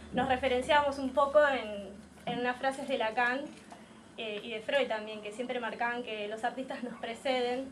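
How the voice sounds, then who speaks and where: Woman, Argentine accent, interviewer, semi-prepared introduction, slightly distant mic, faint background noise. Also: a little high.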